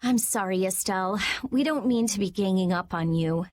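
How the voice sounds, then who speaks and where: An actress playing a blunt, sharp-tongued but caring young girl in a video game. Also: mid-range voice, moderate pace, dry recording, natural voice. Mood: apologetic. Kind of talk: video game cutscene acting.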